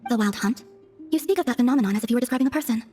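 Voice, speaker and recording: A serene young moon goddess acted by a woman in a video game. The voice is fairly high and soft, with formal, detached phrasing that flows rather briskly. Faint music plays underneath. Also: natural voice. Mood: intrigued. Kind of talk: video game cutscene acting.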